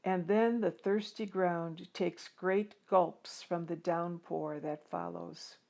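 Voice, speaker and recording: Lady reading as a determined farm kid telling his story, real slow and heartfelt, music behind. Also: fairly low pitch, fairly even intonation, creaky, a little mellow. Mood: hushed, hopeful. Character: teenage farm boy, earnest, determined, dust bowl narrator. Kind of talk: dramatic first-person storybook reading.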